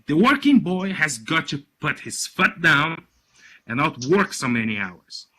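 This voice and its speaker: A man acts out an opinionated immigrant father from a storybook, a boss with a Yiddish accent. He declaims emphatically and gruffly, his bright, forceful voice swinging widely in pitch. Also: very animated, measured pace, heavily acted. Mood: opinionated, lecturing.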